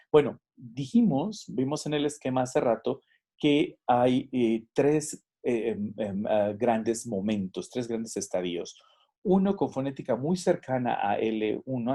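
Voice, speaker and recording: A man's voice: medium pitch, gravelly, dark tone, slightly rough. A man giving a recorded lesson, explaining and demonstrating English pronunciation examples. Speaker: teacher. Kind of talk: recorded lecture.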